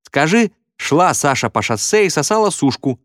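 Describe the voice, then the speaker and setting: A man voicing eager schoolchildren exclaiming and shouting demands in an audiobook. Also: on the high side, very animated, moderate pace, dry recording, studio quality, pitched above the performer's own voice. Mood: excited.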